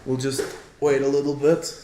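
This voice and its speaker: Flemish male co-caster, chatty and easygoing, native Dutch, average pitch, reverberant room. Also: moderate pace, slight background noise. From Belgium. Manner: relaxed, chatty, reads viewer comments. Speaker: stream commentator. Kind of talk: casual play-by-play commentary. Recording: roomy echo.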